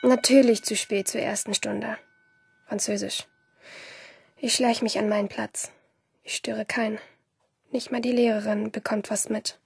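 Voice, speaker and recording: Dry-witted loner schoolgirl woman actor, radio play monologue, clipped and even, with fry in the voice. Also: medium pitch, unhurried, long pauses, dry recording, full character voice. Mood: dry, withdrawn. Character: solitary, dry-witted schoolgirl who loves water. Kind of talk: first-person audio drama monologue.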